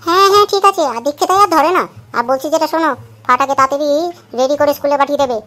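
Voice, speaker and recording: A brisk, commanding working wife, played by a woman in a comedy sketch. Her voice is high, and she fires off orders at a rapid clip. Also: fairly bright tone. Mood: bossy.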